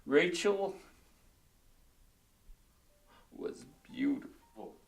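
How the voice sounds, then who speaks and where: Bitter drunk man, swinging pitch. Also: very animated, slow pace, creaky, faint music behind, exaggerated voice. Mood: grieving. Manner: rambling, combative, stammering venting. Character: drunk, bitter, grieving widowed psychologist, ranting. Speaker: actor in a drama film. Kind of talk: naturalistic film drama dialogue.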